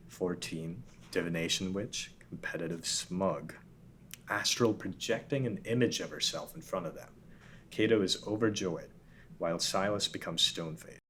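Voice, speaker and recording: A man reads the stage directions of a script plainly at a table read. His voice is very deep. Faint room noise sits behind him. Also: a bit bright. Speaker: narrator.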